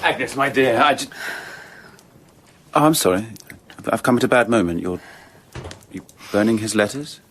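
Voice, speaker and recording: Actor as bitter, passionate young Victorian gentleman. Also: medium pitch, expressive, normal speed, pauses often, slight background noise, lightly acted. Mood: earnest, agitated. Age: young adult. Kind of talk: period radio drama acting.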